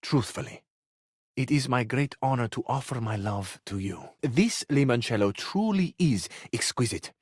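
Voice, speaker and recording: Flamboyant Italian romantic, close and dry. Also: male voice, mid-range voice, lively intonation, normal speed, slightly rough, no echo. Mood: adoring, earnest. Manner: florid, amorous, savoring phrases with dramatic swells and hushes. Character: flamboyant, hopelessly romantic Italian male lover and charmer. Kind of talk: theatrical video game character acting.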